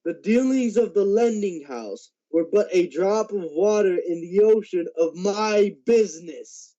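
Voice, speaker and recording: A teenage male actor playing the mournful, remorseful ghost of a businessman in a radio drama, with a drawn-out, weighty delivery. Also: high voice, lively intonation, mellow. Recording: dull lo-fi amateur recording.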